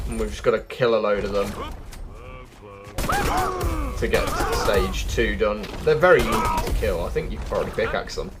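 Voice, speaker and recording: A man's voice: on the low side, normal speed, long pauses. A man giving casual, chatty walkthrough commentary as a gaming video host, often thinking aloud. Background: background music.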